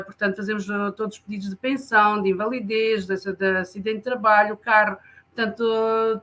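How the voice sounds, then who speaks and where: A woman with a bright, forward voice describes the social services her centre offers. Her pitch stays flat and even. She restarts phrases often and searches for words. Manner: halting, many fillers and restarts. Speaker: community centre social worker. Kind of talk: explaining services in an interview.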